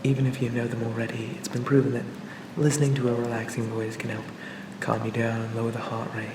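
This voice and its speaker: A man playing a charming, pleasant AI assistant for a police officer in a soothing, gently reassuring ASMR roleplay. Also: mid-range voice, monotone, a little fast, echoey room, distant mic, background noise, lightly acted. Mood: calm, reassuring. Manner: gentle, hesitant, reassuring, conversational.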